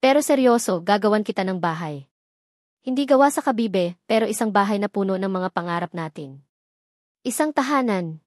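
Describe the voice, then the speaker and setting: Young man in love, earnest and heartfelt, mid-pitched, dry storybook read, performed by a woman. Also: animated, normal speed, dry recording, close to an everyday voice. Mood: tender. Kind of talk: storybook dialogue reading.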